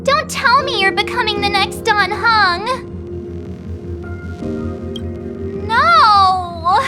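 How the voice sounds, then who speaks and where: A woman voicing a loyal, chatty teenage girl adventurer in a video game, expressive and melodramatic. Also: very high voice, takes it slowly, music in the background. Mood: pouty, melodramatic.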